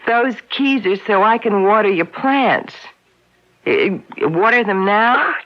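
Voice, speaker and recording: A woman's voice: mid-range voice, slow pace, natural voice. A woman playing a sardonic, impatient wife in a radio drama, with a dry, exasperated delivery. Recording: dull lo-fi radio broadcast recording.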